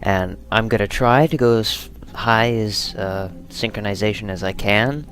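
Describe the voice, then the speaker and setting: A man's voice: lowish voice, unhurried, a bit bright. A man giving casual, self-deprecating commentary over a video game. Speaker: let's play host. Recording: music behind the voice. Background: video game music.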